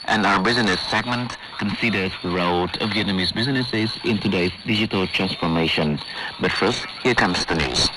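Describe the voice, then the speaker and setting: Deep-voiced male shortwave news presenter. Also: poor audio. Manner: formal, measured delivery. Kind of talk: scripted news reading. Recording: shortwave radio with static.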